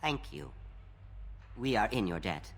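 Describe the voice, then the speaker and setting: An actor playing a frightened, grateful construction worker in a video game. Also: male voice, fairly low pitch, lively intonation, a little slow, in a large hall. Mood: uneasy.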